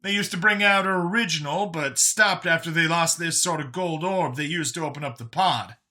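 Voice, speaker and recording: A man voicing a folksy, excitable older fugitive who lives in a youthful body, rambling as he talks in an audiobook story. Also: a little high, moderate pace, voice pushed up. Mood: earnest explaining.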